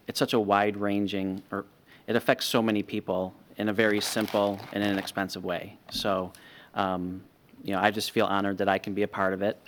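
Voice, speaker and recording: A man giving informal, modest and slightly hesitant personal remarks as a volunteer at a public meeting. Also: on the low side. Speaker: community volunteer. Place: town board meeting room.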